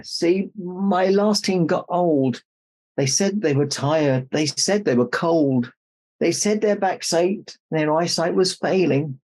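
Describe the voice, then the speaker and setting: A man's voice: fairly high pitch, darkish tone, heavily acted. A man playing Santa Claus, a jolly old man reading a children's storybook aloud with jokey, mock-indignant asides. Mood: upset, worried.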